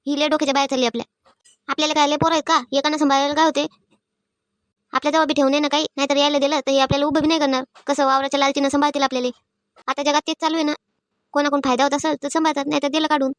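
A sharp-tongued old village mother-in-law from a cartoon comedy, acted by a woman. She scolds and grumbles at breakneck speed in a high, dry-recorded voice with a rural Maharashtrian accent, always aggrieved. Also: flat delivery, dry recording, natural voice. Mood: angry, suspicious. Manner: scolding, complaining, rapid-fire. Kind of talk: animated family comedy acting.

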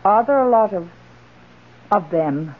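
Woman playing genteel widow, expressive, animated intonation, very muffled old radio drama sound. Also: medium pitch, measured pace, slight background noise, lightly acted. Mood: questioning, wistful. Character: genteel, lonely, well-to-do widow, mature. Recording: muffled, band-limited old radio broadcast.

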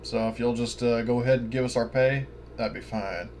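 A man with a middling pitch plays a tabletop game over a voice call. He talks casually, often in character, with faint noise behind him. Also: fairly even intonation, fairly quick, darkish tone. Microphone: online voice call.

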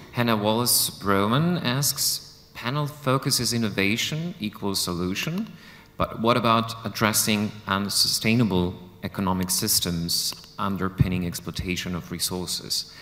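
A man reading out audience comments from social media to a panel in a conference hall, in a steady reading voice. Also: fairly low pitch. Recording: long hall reverb.

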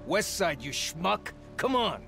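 A bossy video game mobster who scolds his crew in a high, animated voice. He is brusque and exasperated, with loud music underneath. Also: takes it slowly, gravelly, exaggerated voice. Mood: irritated. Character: bossy, irritable New York mob thug.

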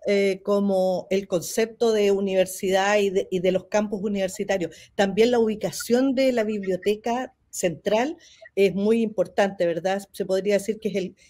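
Mellow-voiced woman interviewer on a call. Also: medium pitch, steady tone, normal speed. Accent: Chilean Spanish. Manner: polite, guiding questions. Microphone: video call webcam.

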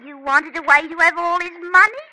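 An actress playing a flighty, wheedling young lover and schemer in a 1950s radio drama. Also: female voice, very high voice, fairly quick, sharp tone, exaggerated voice. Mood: coaxing. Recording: noisy vintage radio recording.

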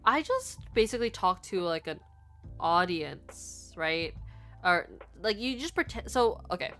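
A woman's voice: fairly low pitch, big pitch swings, unhurried, fairly bright tone. A young woman VTuber chatting casually with her livestream viewers in a rambling, giggly manner. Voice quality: stuffy nose at times. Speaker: VTuber streamer and artist. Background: faint background music.

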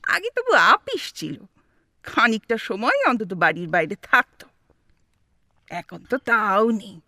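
A woman playing an exasperated, sharp-tongued elderly wife with a West Bengal accent in a comic audio drama, scolding and sarcastic. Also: mid-range voice, big pitch swings, measured pace, no room sound, clean studio sound, exaggerated voice. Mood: grumbling. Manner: scolding, sarcastic, theatrically grumbling.

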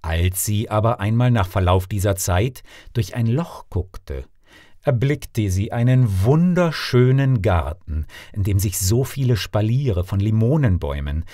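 A man narrating a fairy tale as an actor would, in an expressive, dramatic scripted style. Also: lowish voice, unhurried, no room sound, studio quality. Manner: theatrical, dramatic. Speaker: actor and storyteller.

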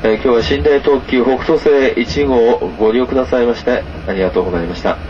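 A man making a formal train announcement with many hesitant filler pauses, heard through a loudspeaker on a moving train. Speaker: train conductor. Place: inside a moving sleeper train. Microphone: camera recording the train's PA speaker. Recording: muffled PA speaker over rumbling train noise. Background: train running noise.

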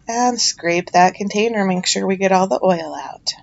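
A woman's voice: medium pitch, moderate pace, creaky, a little mellow, highs cut off. Chatty middle-aged woman narrating a voiceover. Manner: chatty, self-deprecating, with fillers and restarts. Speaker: home soap maker. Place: home, recorded as voiceover.

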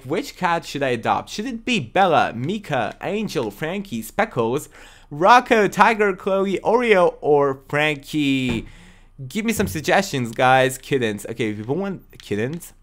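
Young man, non-native English speaker, animated pitch, chatty and excitable, gaming stream, desk mic. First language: not English. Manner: chatty, excitable, talks to chat viewers. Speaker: livestream host. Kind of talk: casual gaming livestream chat.

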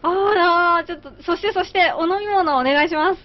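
A woman's voice: extremely high pitch, a little fast. A young woman giving a polite on-location food report as a reporter. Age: young adult. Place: small dim restaurant booth. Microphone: handheld microphone. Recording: dull sound with room noise.

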